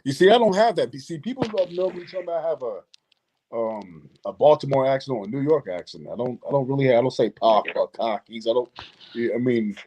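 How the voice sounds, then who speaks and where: A man's voice: mid-range voice, expressive, quick pace, dark tone, rough recording. A man in his thirties from Boston, chatting casually as a guest in informal, slangy speech. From Boston, United States. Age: 30s. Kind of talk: casual chat.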